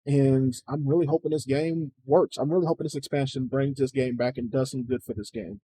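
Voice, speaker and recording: A man's voice: mid-range voice, fairly even intonation, a little fast, dark tone, husky, dry recording, low-quality recording. An American man chatting casually as the host of a reaction video. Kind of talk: casual chat, reacting to a game showcase.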